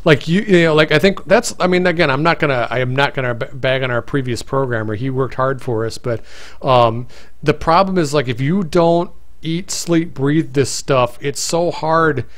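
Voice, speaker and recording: A man's voice: medium pitch, moderate pace. Male stream host, casual and rambling, voice wearing out. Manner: rambling, many restarts and fillers. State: voice getting tired. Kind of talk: casual live-stream chat.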